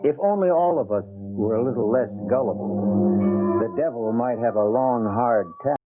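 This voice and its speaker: A man narrates a radio mystery with theatrical, measured menace. His voice is husky, and the old broadcast sound is thin and tinny. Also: mid-range voice, soft background music. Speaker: host and narrator.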